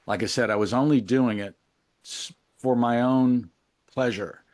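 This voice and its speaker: A middle-aged man talking reflectively as an interview guest, in a halting, musing, colloquial way about his creative work. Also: medium pitch, a little mellow, no echo, studio quality. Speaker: interview guest, musician and memoir author.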